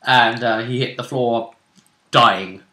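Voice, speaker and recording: Man, bright voice, echoey room, casual game discussion, rambling delivery. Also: mid-range voice, steady tone, slow. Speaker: role-play show host.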